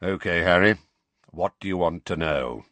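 A man's voice: deep voice, moderate pace, a bit bright, dry recording. Man playing a blunt, brooding, defiant godfather. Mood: frank. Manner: firm, blunt, informal.

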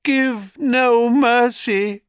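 A man's voice: very high-pitched, fairly even intonation, very slow, smooth, no echo, studio quality, pitched above the performer's own voice, heavily acted. A man voicing a frightened young female nymph who stammers. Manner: stammering, timid.

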